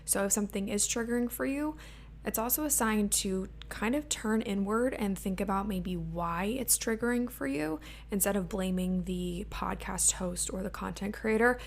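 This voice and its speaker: A woman in her twenties hosting her own podcast, talking in a casual, open way with a slightly raspy voice and lots of "like" fillers. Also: medium pitch, normal speed. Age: 20s. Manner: chatty and open, many "like" fillers. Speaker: podcast host. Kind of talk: casual solo podcast talk. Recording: faint hum and lawn mower behind.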